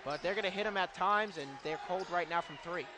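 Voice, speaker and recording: High-voiced male basketball color commentator. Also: brisk. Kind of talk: live basketball analysis and stats. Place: courtside in an indoor arena.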